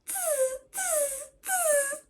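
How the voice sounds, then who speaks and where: A woman voicing cheeky mimicking monkeys, answering with soft, very high hissing tuts. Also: drawn-out words, heavily acted. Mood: mocking.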